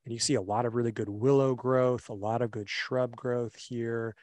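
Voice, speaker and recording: A young man presenting a webinar on a video call, relaxed and full of fillers and restarts. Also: mid-range voice, normal speed, no echo. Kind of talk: casual slideshow presentation. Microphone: computer mic on a video call.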